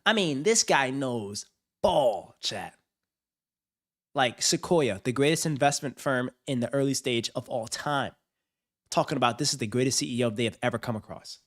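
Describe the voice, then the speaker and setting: A man's voice: mid-range voice, lively intonation, normal speed. A man hosting a livestream, reacting to a video with hyped, slang-heavy banter and frequent laughs. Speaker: streamer host. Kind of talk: casual livestream commentary.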